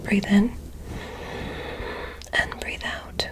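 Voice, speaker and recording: A woman voicing a gentle, curious alien doctor in an ASMR medical roleplay, whispering soothing, softly formal instructions. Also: extremely slow. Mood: soothing, clinical. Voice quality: breathy whisper.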